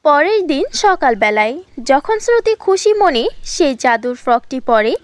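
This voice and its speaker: A woman's voice: high voice, very animated, unhurried, no room sound. A woman reading scripted fairy-tale narration for a cartoon, as a storyteller.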